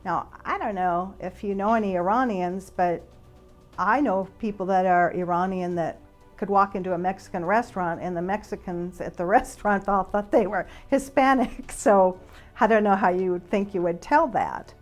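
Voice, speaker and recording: A woman giving an informal explanatory monologue in a conversational, speculative way, halting with pauses. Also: medium pitch, faint music behind. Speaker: speaker, flight attendant and author.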